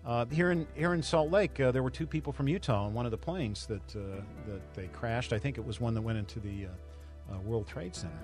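An American man giving a reflective interview recollection in a halting, conversational way. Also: mid-range voice, darkish tone. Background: faint music.

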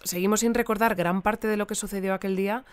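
An actress playing an inquisitive, politely persistent news interviewer in a naturalistic audio drama, phrasing questions with care. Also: female voice, mid-range voice, fairly even intonation, fast talker, clear, resonant tone, lightly acted.

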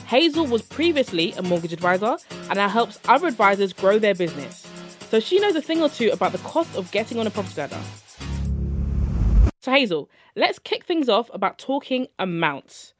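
A young woman hosting a podcast, introducing her guest and asking questions in a friendly, conversational way. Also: a little high. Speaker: podcast host. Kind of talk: podcast interviewing.

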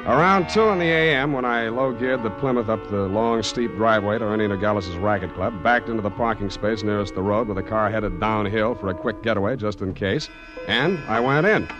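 An actor playing a wry, tough private detective in 1940s radio drama style, with clipped deadpan delivery. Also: male voice, fairly low pitch, fairly quick, dull audio, over music, natural voice. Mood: brisk, wry narration. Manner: wisecracking, deadpan, clipped hard-boiled delivery. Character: wry, tough male private detective narrating his case.

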